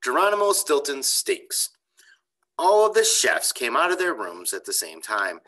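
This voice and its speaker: Animated male teacher reading a children's story. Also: mid-range voice, measured pace. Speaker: elementary school teacher reading aloud. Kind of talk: expressive children's storybook read-aloud. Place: bright indoor school room. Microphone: computer webcam on a video call.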